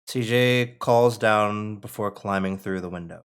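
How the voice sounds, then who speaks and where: A man with a fairly low voice narrates a screenplay at a table read. He reads out the scene headings and stage directions as scripted narration. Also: unhurried, clear, resonant tone.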